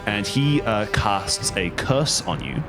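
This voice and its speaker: A man narrating a tabletop game as game master, halting and dramatic as he sets the scene. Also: on the low side, very animated, creaky. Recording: music behind the voice. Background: background music.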